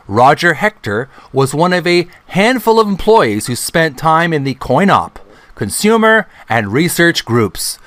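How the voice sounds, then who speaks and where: A middle-aged man hosting a channel, reading a book aloud in a deliberate, halting way, phrase by phrase. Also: on the high side, fairly bright tone, no echo. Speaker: video channel host.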